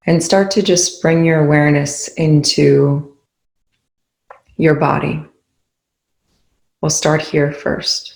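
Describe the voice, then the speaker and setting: A meditation guide with a noticeably creaky voice and a fairly even, low-key pitch. The delivery is soothing and unhurried, with long pauses between short instructions. The room adds a clear echo around the words. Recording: echoey room.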